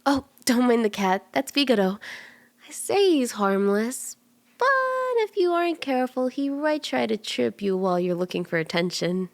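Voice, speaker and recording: A woman voicing a cute, friendly kitsune tarot mystic in an ASMR roleplay, gentle, playful and unhurried, soft-spoken and often slipping toward a whisper. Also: a little high, big pitch swings, no echo. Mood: welcoming, playful. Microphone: close ASMR microphone in a dry space.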